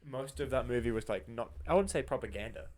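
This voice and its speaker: A man's voice: mid-range voice, animated, fast. A man hosting a podcast in casual banter, teasing and informal, swearing freely. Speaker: podcast host.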